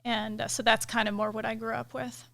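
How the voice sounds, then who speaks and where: A middle-aged woman, a military pilot, talking through her career as a guest in a casual interview, relaxed and thoughtful, with many fillers. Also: on the high side, a little fast, gravelly. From United States. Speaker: KC-135 pilot and director of inspections, guest.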